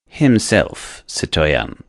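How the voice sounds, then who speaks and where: A sly French agent, sunk very deep, slow, quiet mockery, dry sound. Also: male voice, big pitch swings, creaky, dry recording, exaggerated voice. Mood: sly, gallant. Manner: quiet, slow, dryly gallant. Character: shrewd sly middle-aged Frenchman, quiet and mocking.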